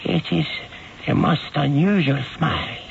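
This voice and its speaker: An actor playing a humble, kindly old priest in a radio drama, with a mild and hesitant manner. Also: male voice, a little high, measured pace, gravelly. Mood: gentle, puzzled. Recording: dull, hissy old radio recording.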